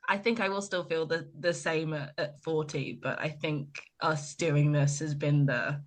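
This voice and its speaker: A woman's voice: sits low, fairly even intonation, unhurried. Supportive woman in her thirties co-hosting. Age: 30s. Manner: supportive, many short agreeing replies. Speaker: podcast co-host. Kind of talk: casual chat.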